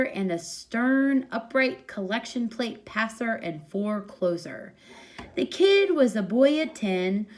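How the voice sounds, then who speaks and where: A woman reading a short story aloud as expressive narration, with a medium-pitched voice. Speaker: story reader.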